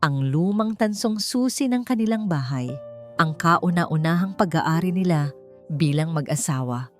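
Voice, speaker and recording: A woman from the Philippines narrating a dramatic love story in a calm, measured, emotive way. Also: lowish voice. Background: faint background music.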